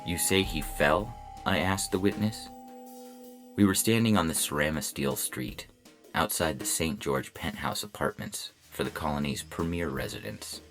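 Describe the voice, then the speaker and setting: A man performs a robot police officer narrating a noir detective tale. The voice is extremely low and gravelly, with deadpan, clipped delivery. Music plays clearly behind it. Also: exaggerated voice.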